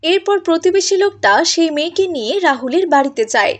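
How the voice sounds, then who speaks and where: A woman's voice: very high-pitched, animated, fast. A woman reading scripted narration for a cartoon moral story.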